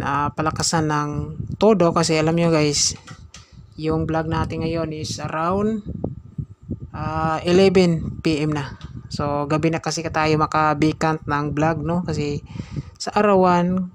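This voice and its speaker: A man talks through a speaker review in a casual, chatty way, explaining each part step by step. He records indoors late at night, and a steady noise sits under his voice. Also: on the high side, unhurried. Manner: chatty, explains step by step, keeps saying "guys". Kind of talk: casual product review vlog.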